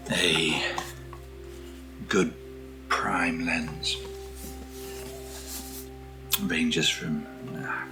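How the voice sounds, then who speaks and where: Very deep, soft-spoken British man, hushed. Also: poor audio. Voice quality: soft, often dropping to a whisper. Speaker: ASMR video host. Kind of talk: soft-spoken ASMR unboxing.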